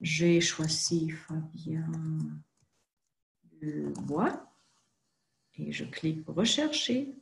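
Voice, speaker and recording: A woman walks through a screen-share tutorial in a fairly low voice. She speaks in a very slow, careful way and fills her pauses with frequent hesitations. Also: big pitch swings, gravelly. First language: not French. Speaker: presenter for a genealogy organization.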